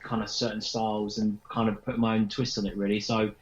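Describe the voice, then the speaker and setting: A dull, lo-fi recording of a low, flat male voice. He answers as an interviewed band member, rambling casually. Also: fairly quick. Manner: rambling, heavy hedging with kind of. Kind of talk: casual chat. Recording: dull lo-fi remote sound.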